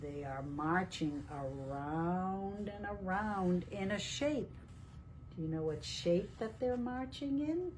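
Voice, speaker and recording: A woman reading a picture book aloud to young children in a deliberate, teacherly way, asking questions as she goes. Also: on the low side, lively intonation, darkish tone, a little hiss or hum. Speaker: children's storytime reader at a library.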